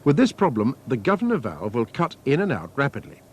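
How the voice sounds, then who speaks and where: A man reading scripted technical instructions as a training film narrator, in a measured, methodical, formal manner. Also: medium pitch, huge pitch swings, slightly rough. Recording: faint noise, archival training film soundtrack.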